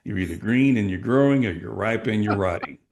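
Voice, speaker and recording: A man's voice: lowish voice, lively intonation, fairly quick, gravelly, a little mellow. A middle-aged man from Texas, a sales coach giving emphatic, motivational answers in a podcast interview. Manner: emphatic, motivational, asks rhetorical questions.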